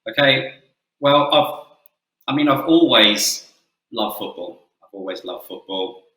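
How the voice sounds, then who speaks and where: A man's voice: mid-range voice, expressive, unhurried, sharp tone, reverberant, low-quality recording. A middle-aged man with an English accent, a football coach giving an earnest presentation with frequent fillers. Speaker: football coach and guest speaker. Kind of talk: presentation talk.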